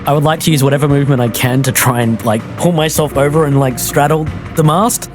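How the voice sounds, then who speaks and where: A man's voice: on the high side, quick pace, music in the background. A man chatting casually as a player in a tabletop role-playing game.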